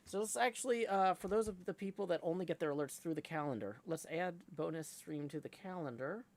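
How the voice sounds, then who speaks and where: A man hosting a live game stream in a casual, rambling way, with a creaky voice. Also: on the high side, a little fast. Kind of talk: casual livestream chat.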